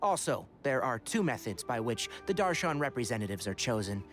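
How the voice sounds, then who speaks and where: A young scholar from a video game played by a man, mid-pitched with very animated intonation. He is sensible, articulate and dryly wry, with music playing underneath. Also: a little fast, close to an everyday voice. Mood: composed, explanatory. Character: young male scholar, composed, dry, sensible.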